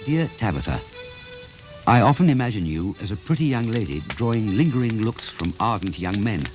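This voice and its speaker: Actor as wistful, low-voiced English writer, swooping intonation. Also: male voice, lively intonation, a little fast, close to an everyday voice, rough recording. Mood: wistful, tender. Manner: wistful, florid, theatrical. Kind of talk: radio play acting, reading letters aloud. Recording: music behind the voice.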